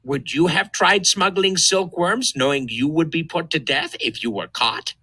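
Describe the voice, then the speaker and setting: Bright, higher-pitched man reading aloud. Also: measured pace, slightly rough, dry recording. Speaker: narrator. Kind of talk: scripted read-aloud narration of an educational text.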